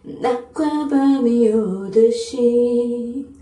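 High-voiced woman singing a cappella. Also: brisk, warm tone. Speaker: amateur singer. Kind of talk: a cappella singing of Korean ballads. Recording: echoey room, faint music.

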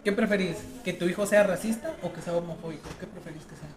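A woman's voice: fairly low pitch, fast, crowd noise. Playful Guatemalan woman in a roomy space. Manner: playful, freely sweary. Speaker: podcast participant playing a question game. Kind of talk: casual chat among friends.

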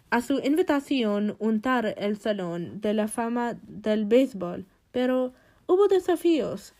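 A woman's voice: medium pitch, a little mellow. A woman interviewer speaking Spanish in a scripted interview.

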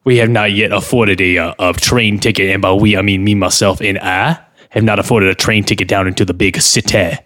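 Man doing a hesitant stammering street performer, lowish voice, lots of uh fillers, improv comedy. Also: fairly even intonation, fairly bright tone, close to an everyday voice. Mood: bumbling. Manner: halting, stammering, full of filler sounds. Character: bumbling hesitant man promoting street-corner one-man show.

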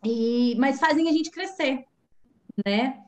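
Podcast host, young woman, Brazilian accent, higher voice, informal interviewing, slightly off-mic. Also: slow, recorded from a distance. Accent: Brazilian Portuguese. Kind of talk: casual interviewing.

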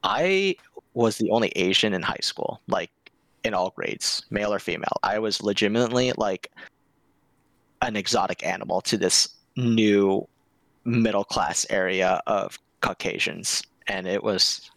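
Young american man, low gravelly voice, laid-back guest, dry close mic. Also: measured pace, fairly bright tone, no room sound, low-quality recording. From United States. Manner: laid-back, candid, uses "like" a lot. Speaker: podcast guest. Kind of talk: casual interview chat.

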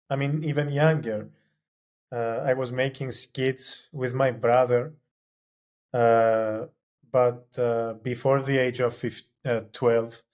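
A man's voice: medium pitch, a little mellow. A young man whose first language is Greek, answering interview questions in a hesitant way with many fillers and restarts. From Cyprus. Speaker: guest, film graduate.

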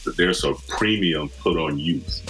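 A man's voice: very deep voice, a little slow, over loud music, poor audio. A man in his forties from Michigan, a podcast guest telling his story in an earnest, reflective way. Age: 40s. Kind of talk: casual conversation.

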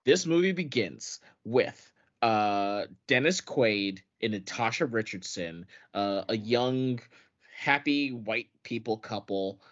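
A man's voice: fairly low pitch, expressive, slow pace. A man co-hosting a podcast in casual banter, sarcastic and swearing freely. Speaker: podcast co-host. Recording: dull lo-fi podcast audio.

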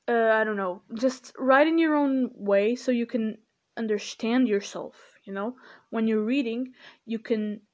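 Teen girl, unhurried rambling talk, slightly high voice, dull lo-fi sound. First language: Portuguese. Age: teens. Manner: rambling, many fillers like "you know" and "like". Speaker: podcast host. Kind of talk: casual study-tips talk.

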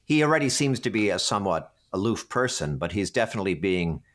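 A man with a loud voice, an actor being interviewed, recalling his work in a chatty, animated, extroverted way. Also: fairly low pitch, fast talker.

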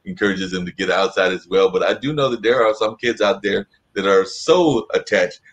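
A man with a fairly low voice hosts a relaxed interview. His questions wander through long, winding detours before they land. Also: normal speed. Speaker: interview host. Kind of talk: conversational interview.

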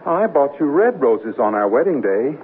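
An actor plays a plain-spoken newlywed husband with wry, bemused good nature. His pitch moves freely, and the seventies radio drama recording is narrow and slightly hissy. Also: very animated, moderate pace, lightly acted. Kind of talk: 1970s radio drama acting. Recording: muffled, noisy old radio broadcast.

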